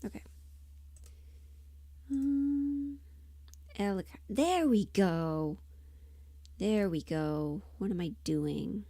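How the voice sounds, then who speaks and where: A woman's voice: mid-range voice, extremely slow, pauses often, mellow, no echo. A woman chatting casually as she hosts a livestream, often muttering half to herself and trailing off as she works. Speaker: livestream host and audio performer. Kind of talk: casual livestream chat while working.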